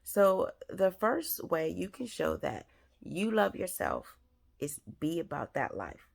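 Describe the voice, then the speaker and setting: A woman hosting her own advice show, speaking with a raspy, scratchy throat in an earnest, repetitive way with many fillers. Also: on the low side, big pitch swings, slow pace. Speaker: host. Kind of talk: advice talk to camera.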